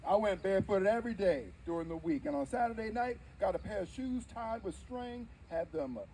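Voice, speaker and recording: A male actor plays a dignified 19th-century preacher with a high, widely swinging voice. He tells his own story as stage oratory, emphatic and wry, leaning on deliberate pauses. There is clear noise behind him. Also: animated, dark tone, rough recording. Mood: earnest, wry. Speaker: live history interpreter. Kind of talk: theatrical first-person oratory before an audience.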